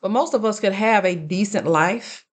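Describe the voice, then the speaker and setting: A woman in her late thirties giving casual, earnest life advice straight to her viewers, speaking deliberately and checking in with them. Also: mid-range voice. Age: late 30s. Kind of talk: casual direct-to-camera advice talk.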